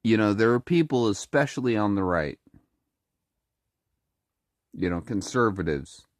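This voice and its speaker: A speaker with a deep voice who has been a little sick, talking casually to the camera in a halting way with many fillers and restarts. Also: male voice, lively intonation, no room sound, studio quality. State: a little sick, throat-clearing. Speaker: vlogger.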